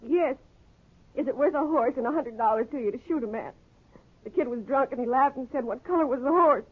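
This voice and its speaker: A woman's voice: sits high, fairly quick. An American actress playing a frightened, earnest young saloon woman in a 1950s radio Western, nervous and urgent. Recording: tinny, narrow-band old radio recording.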